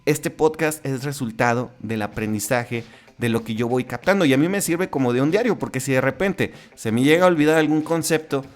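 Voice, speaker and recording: Chatty Mexican man hosting a podcast. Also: on the high side. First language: Spanish. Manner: conversational, with frequent restarts and tag questions. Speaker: podcast host. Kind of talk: casual solo podcast monologue.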